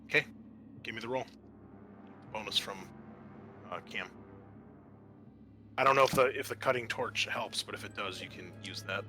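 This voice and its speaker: A man with a fairly low, forceful voice acts as game master for a tabletop roleplaying session. He improvises his narration in an informal, halting way. Faint music plays behind him.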